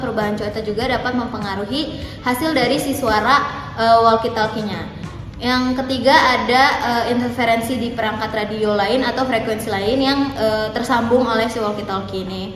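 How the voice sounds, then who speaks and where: A young female student presents in an informal way. Her slightly high voice echoes through a hall over clear background noise. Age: young adult.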